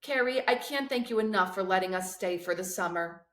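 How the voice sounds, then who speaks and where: A woman's voice: medium pitch, brisk, close to an everyday voice. A woman voicing a sad, grateful middle-aged father in a storybook, subdued and polite. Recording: roomy, echoing sound.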